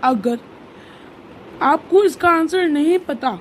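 A woman's voice: high voice, animated, slow pace, slight background noise. A woman voicing an interviewer who poses riddles in a children's cartoon quiz. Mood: quizzing, composed. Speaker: quiz host.

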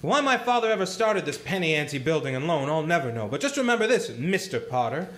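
A man voicing an earnest young man in a community radio play who defends ordinary people and stumbles over his words when upset. His voice is a little high for a man. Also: very animated, fairly quick, a bit bright. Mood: indignant. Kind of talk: amateur radio play acting.

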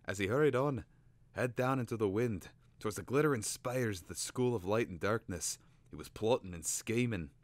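A haughty talking bird from a storybook, acted out by a man, pitched up from a deep male register. It speaks in grand, indignant phrases like a proud, short-tempered storyteller. The sound is close and dry. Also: moderate pace, dry recording, close to an everyday voice. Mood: grand storytelling.

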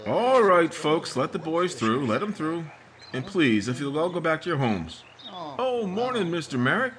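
A man's voice: a little high, big pitch swings, normal speed, a crowd in the background. An actor playing a patient small-town sheriff in a 1950s-style radio mystery, drawling and hesitating with "uh" and "mm" as he questions a witness. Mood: probing. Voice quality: husky, drawling with "uh" and "mm".

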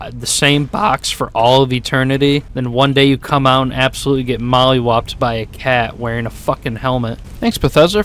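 A man's voice: mid-range voice, a bit bright, slight background noise. A man in his twenties with an American accent, giving sarcastic, joking, sweary gameplay commentary into a desk microphone. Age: 20s. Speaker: gaming YouTuber. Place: small home room, indoors. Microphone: desk condenser microphone with headset worn.

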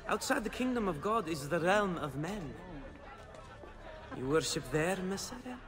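An actor playing a cocky, cheeky young Renaissance gambler and painter's assistant in a video game. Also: male voice, sits high, expressive, measured pace. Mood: teasing. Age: young adult. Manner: cheeky, flippant, theatrical. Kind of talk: dramatic video game cutscene acting.

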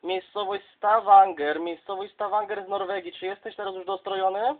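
A man's voice: sits high, steady tone, moderate pace, heavy background noise. A man from southern Poland making casual, chummy contact as a CB radio operator, addressing others as colleagues. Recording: dull, lo-fi sound.

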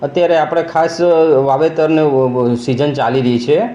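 A man's voice: fairly high pitch, moderate pace, warm tone. A man, an official giving figures in an informal interview statement. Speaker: district agriculture officer. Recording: echoey room, dull muffled sound.

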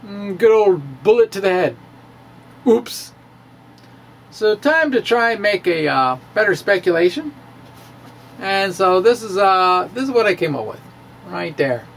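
A middle-aged man giving a dramatic reading of a science blog, halting and theatrical with wry asides. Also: high voice, big pitch swings, creaky, slight background noise. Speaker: amateur physics video blogger. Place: small indoor room with bookshelves. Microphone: handheld-style microphone on a stand, close in front.

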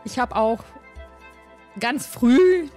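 A woman's voice: on the high side, animated, very slow, mellow. A middle-aged woman hosting a casual interview over a video call, speaking close to a desk microphone. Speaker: interviewer, host. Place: home room, indoors, on a video call. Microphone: desk microphone close to the mouth. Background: faint music.

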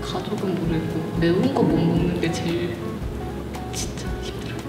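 Young woman, from south korea, fast bubbly answers, distant mic, echoey room. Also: on the low side. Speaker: violin student. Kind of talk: casual interview answers. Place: large bright studio room, indoors. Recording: echoey room heard from a distance, music behind.

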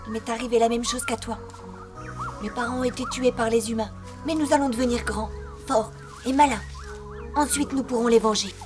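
A woman voicing an earnest, tender young animal in an animated cartoon. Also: on the high side, brisk, pauses often, lightly acted. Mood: earnest, consoling. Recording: music behind.

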